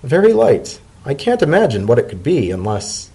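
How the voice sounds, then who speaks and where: A man voicing an anxious, affectionate male pony in a story reading, speaking in a hesitant, earnest and excitable way. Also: mid-range voice, normal speed, creaky, breathy edge, pitched above the performer's own voice, full character voice. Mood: astonished.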